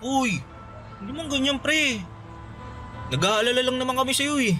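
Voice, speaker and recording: A man playing a gentle teenage boy trying to calm his quarreling friends in a radio drama. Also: extremely high pitch, normal speed. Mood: placating. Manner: soothing, placating. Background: music.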